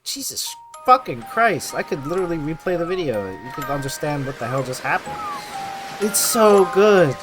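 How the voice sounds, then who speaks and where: A man giving casual, excited video game countdown commentary in a gushing, slangy, emphatic way with heavy swearing. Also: a little high, moderate pace, music in the background. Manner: gushing, heavily profane.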